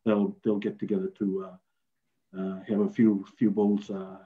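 Male guest from Fiji, lowish voice, halting and full of fillers, tinny video-call audio. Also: completely flat delivery. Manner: halting, with many fillers and restarts. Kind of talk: explaining casually on a video call.

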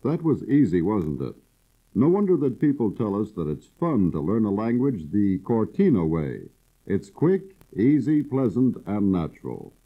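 A man's voice: fairly low pitch, sing-song, a little slow. A man narrating a scripted language-course promotion in a persuasive, salesman-like way. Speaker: course announcer. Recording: husky, phone-like old cassette audio.

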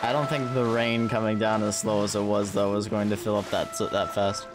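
A man's voice: fairly low pitch, little pitch movement, moderate pace. A man giving casual, sweary, wisecracking livestream commentary as he plays a video game. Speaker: streamer playing a video game. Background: game music.

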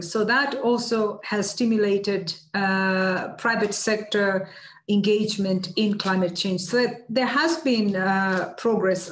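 Middle-aged woman in an echoey room. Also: mid-range voice. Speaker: UN environment official, guest speaker. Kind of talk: answering questions at an online seminar. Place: indoors before banners, online video call. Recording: echoey room on video call.

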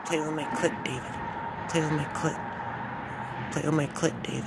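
An eerie little witch woman, put on by a man, chants the same words over and over in a soft, breathy, hypnotic voice pitched well above his own. The recording carries a steady noisy hiss underneath. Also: normal speed.

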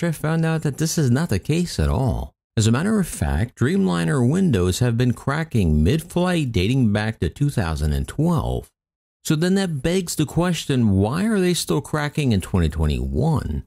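Guy narrating airline news off a script, dark mellow voice, pretty lively delivery. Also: mid-range voice. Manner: reads news reports aloud with occasional asides. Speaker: aviation channel narrator. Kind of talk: scripted news narration read over footage.

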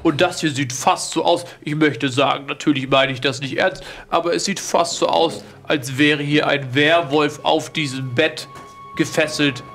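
Horror radio play: male actor as courteous, speculative young lord, fry-laden voice over light music. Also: on the high side, steady tone, a bit bright. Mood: musing. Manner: measured, musing, polite. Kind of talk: improvised horror tabletop audio drama.

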